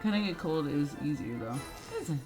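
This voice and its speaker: A woman's voice: low voice, animated, moderate pace, a little mellow, low-quality recording. A woman game streamer giving casual, irreverent, self-mocking live commentary with some swearing. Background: faint game music.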